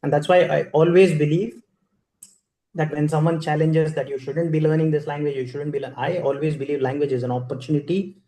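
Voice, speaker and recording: A man's voice: a little high, fairly even intonation, brisk, warm tone. Indian man telling his story in an echoey hall. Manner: storytelling, conversational, many fillers and restarts. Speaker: chief guest speaker. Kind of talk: personal story speech at a ceremony.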